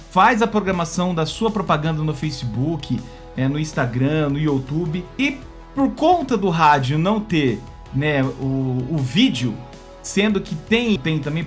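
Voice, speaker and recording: A Brazilian man talking informally and emphatically to his viewers in a vlog, with the conversational delivery of a former radio announcer. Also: high-pitched. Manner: conversational and emphatic, often checking in with the listener. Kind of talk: informal vlog monologue with a numbered list.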